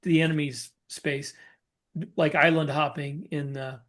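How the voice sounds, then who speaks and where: Man, slightly high voice, podcast co-host, casual explanation, halting, reworked phrases. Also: level tone, a bit bright. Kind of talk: casual discussion of writing military science fiction.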